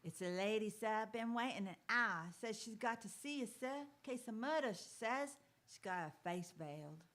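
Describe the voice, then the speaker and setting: A woman's voice: on the high side, lively intonation, moderate pace, a bit bright. A woman playing an eager young servant boy in a radio drama, clipped and deferential. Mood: urgent. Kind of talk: theatrical radio drama acting.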